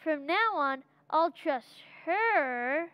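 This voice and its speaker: A woman's voice: high-pitched, expressive, extremely slow, muffled recording, exaggerated voice. A woman playing a skeptical, wisecracking American boy in old-time radio comedy style, youthful and blunt with gee-whiz slang. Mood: matter-of-fact.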